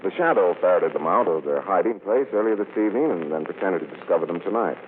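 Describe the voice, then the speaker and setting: An old radio recording, tinny and faintly noisy, of a suave gentleman sleuth. His American voice is very low, measured and authoritative, explaining things with calm self-assurance in 1940s drama style. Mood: wry, confiding.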